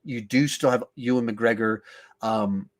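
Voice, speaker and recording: A man's voice: medium pitch, steady tone, unhurried. A man hosting a podcast, chatting casually about films in an emphatic way, often thinking aloud. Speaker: podcast host. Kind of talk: casual film discussion.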